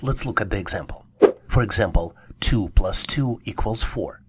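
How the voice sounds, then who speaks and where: A man's voice: fairly low pitch, moderate pace, a bit bright, breathy edge. A man narrating a scripted puzzle tutorial as an instructor, encouraging and speaking directly to the listener. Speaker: online test-prep instructor.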